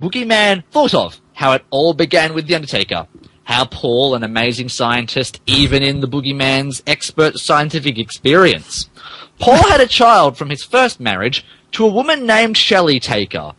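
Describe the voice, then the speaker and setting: Brief: male comedic fan fiction narrator, animated delivery, fumbled words and asides, dry sound. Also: medium pitch, normal speed, dry recording, low-quality recording. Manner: stumbles over words, mock-dramatic asides. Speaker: podcast host reading as narrator.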